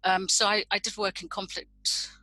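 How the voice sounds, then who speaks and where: A woman's voice: mid-range voice, little pitch movement, moderate pace, pushed and bright, no echo, poor audio. A middle-aged woman from Indonesia, a guest in a reflective conversation, speaking thoughtfully as she searches for her words.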